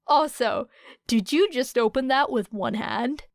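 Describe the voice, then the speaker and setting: A woman's voice: sits high, a little slow, dry recording, studio quality. A woman voicing an amused teenage boy protesting with mock seriousness in an audiobook story.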